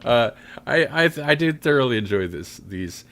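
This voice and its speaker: A man's voice: mid-range voice, expressive, normal speed, a bit bright. A man hosting a podcast, talking in a casual, rambling way with joking, self-deprecating asides. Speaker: podcast host.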